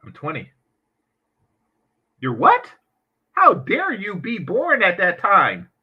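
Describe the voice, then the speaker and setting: A man with a high, very lively voice runs a reaction commentary full of sarcastic, mocking asides. His pitch swings up and down as he rants. The audio is dull and lo-fi. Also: takes it slowly, breathy edge. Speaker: commentary channel host.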